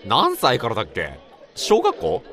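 A man acting in a radio drama as an excitable, sentimental old friend, chatting in an emphatic, colloquial way. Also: mid-range voice, a little slow, some chatter behind, full character voice. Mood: matter-of-fact. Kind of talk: radio drama dialogue, casual banter.

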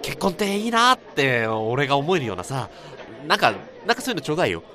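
A man's voice: a little high, very animated, quick pace. A man acting in a radio drama as a bashful, eager groom-to-be of about thirty, speaking casually and hesitantly. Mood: eager, teasing. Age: around 30. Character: bashful, eager groom-to-be, old college friend. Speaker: actor. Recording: busy crowd noise behind the voice.

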